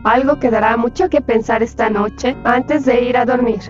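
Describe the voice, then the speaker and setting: Higher-voiced woman narrating ominously. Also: normal speed, lo-fi sound, soft background music. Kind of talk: scripted narration.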